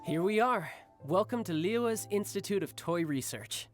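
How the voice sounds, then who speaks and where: A man's voice: high voice, expressive, unhurried. A man voicing a playful, confident young older brother in an anime-style video game, articulate and teasing. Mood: cheerful. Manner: smooth-talking, teasing, articulate. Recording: game music behind.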